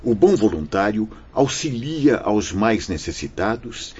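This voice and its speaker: A man playing a kindly, solemn priest spirit in a radio drama, telling his tale in a husky, measured, poetic way. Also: on the low side, sing-song, a little mellow, exaggerated voice. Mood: firm. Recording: dull lo-fi, faint music behind.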